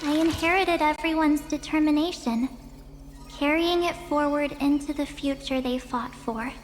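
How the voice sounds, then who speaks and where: Woman as a high-voiced bubbly young game heroine. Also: moderate pace, in a large hall, soft background music, close to an everyday voice. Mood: solemn, determined. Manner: playful, boastful, heartfelt. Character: bubbly young heroine who loves photography and her journal. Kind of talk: English video game voice acting.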